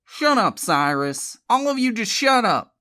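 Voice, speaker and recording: A woman voicing a stern, vengeful older uncle in an audiobook, snapping loud commands in a rage. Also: mid-range voice, normal speed, natural voice. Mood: threatening.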